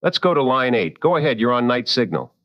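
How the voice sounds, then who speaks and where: An actor playing a seasoned, protective late-night radio host in a drama, with a firm, wry and deliberate delivery. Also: male voice, medium pitch, sing-song, lo-fi sound, slight background noise, close to an everyday voice. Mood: wry, attentive.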